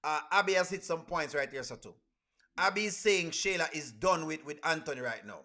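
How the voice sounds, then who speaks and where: A man's voice: on the high side, lively intonation, brisk, sharp tone. A man with a Caribbean accent hosting a casual reaction livestream, talking to viewers and repeating his phrases for emphasis. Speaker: livestream host.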